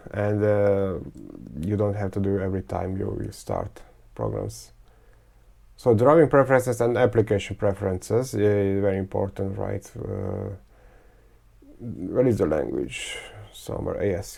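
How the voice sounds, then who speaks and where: Gravelly-voiced man. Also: mid-range voice, a little mellow. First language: Croatian, Serbian and Hungarian. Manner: thinking aloud, halting, many fillers and restarts. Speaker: teacher. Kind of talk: screen-recorded software tutorial.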